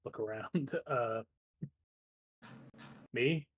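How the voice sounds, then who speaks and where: Guy in a tabletop rpg, medium voice, halting and casual, making it up as he goes. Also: medium pitch, fairly bright tone, low-quality recording. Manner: hesitant, halting. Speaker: tabletop roleplaying player. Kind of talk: casual improvised roleplay.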